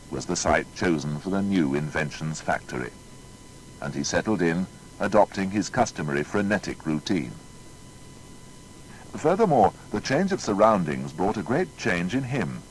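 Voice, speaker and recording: Deep-voiced, dramatic male narrator. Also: slight background noise, poor audio. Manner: emphatic, rhetorical. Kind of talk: dramatic scripted biography narration.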